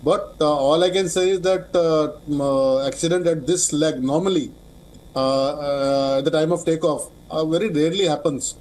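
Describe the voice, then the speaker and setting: Male expert guest for news interview, Indian accent, fairly high register, halting and repetitive phrasing. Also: darkish tone, rough recording. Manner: hesitant, halting, with repeated words.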